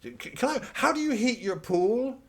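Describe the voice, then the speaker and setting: A man's voice: extremely high pitch, very animated, normal speed, husky, voice pushed up, exaggerated voice. A man voicing a fussy, smug millionaire bandleader in a comedy monologue, stammering and repeating himself prissily. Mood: fussy, awkward.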